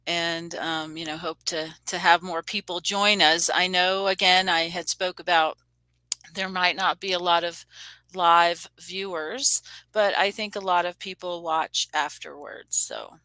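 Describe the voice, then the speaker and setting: Bright-voiced chatty woman host. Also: fairly low pitch, fairly even intonation, a little slow, no echo, rough recording. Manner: rambling, many fillers and restarts. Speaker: show host. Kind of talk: casual video-call chat. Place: home room on a video call.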